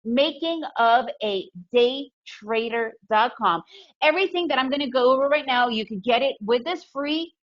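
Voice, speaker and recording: Russian-born woman presenter with a fairly high voice, upbeat and emphatic, on a muffled video-call mic. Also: moderate pace. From Soviet Union, raised in the US. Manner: upbeat, emphatic, often adds "right?". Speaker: webinar presenter, day trader. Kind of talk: webinar presentation. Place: home in Guatemala. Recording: dull lo-fi webinar audio.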